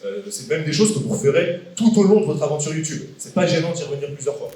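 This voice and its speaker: A man's voice: on the high side, lively intonation, fast, warm tone. A man in his late twenties giving a casual, joking live talk to an event audience, quizzing the crowd as he goes. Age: 20s. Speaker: guest speaker. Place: large event hall with an audience. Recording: echoey hall from far off.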